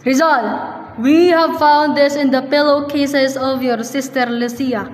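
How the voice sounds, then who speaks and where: A woman's voice: high voice, steady tone, takes it slowly. A young woman with a Filipino accent playing a stern, accusing colonial inspector in a student radio drama. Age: young adult. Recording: echoey reverberant hall.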